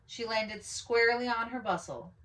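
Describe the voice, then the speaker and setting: A woman reading a picture book aloud as a storyteller, with animated, dramatic emphasis on key words. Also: on the high side, moderate pace, poor audio. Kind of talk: expressive children's story read-aloud.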